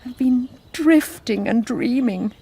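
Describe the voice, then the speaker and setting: An actress playing a troubled, self-controlled nun in a radio drama, reflective and halting. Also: female voice, on the high side, dark tone, breathy edge, full character voice. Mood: wistful.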